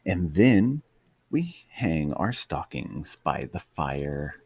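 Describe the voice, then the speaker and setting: A man voicing a gentle, loving female dog in a bedtime storybook reading, lifting his very low voice higher for her. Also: very animated, drawn-out words, creaky, slightly rough, no echo, heavily acted. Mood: tender.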